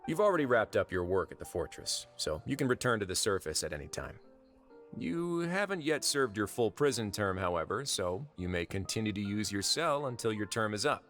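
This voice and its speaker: An actor playing a suave, sardonic prison warden in a video game, speaking with wry authority. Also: male voice, fairly low pitch, sing-song, a little fast, a bit bright, soft background music. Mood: businesslike.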